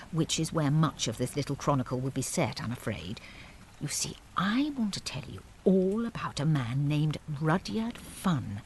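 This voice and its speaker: A man's voice: a little high, a little hiss or hum. A man playing a wry, confiding narrator of a village chronicle in an audio drama, drily apologetic.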